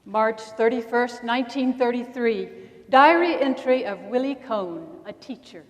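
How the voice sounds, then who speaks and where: A woman acting as an earnest narrator in a staged documentary reading, with deliberate phrasing. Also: on the high side, dull audio, natural voice. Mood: solemn. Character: earnest female narrator of historic notices and testimony. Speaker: stage reader in a dramatic reading. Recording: echoey hall with long reverb.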